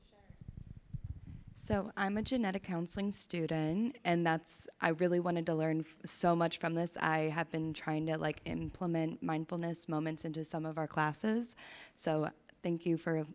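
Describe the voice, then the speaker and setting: A young woman in her twenties, a genetic counseling student, commenting from the audience, quick with frequent fillers. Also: sits low, flat delivery.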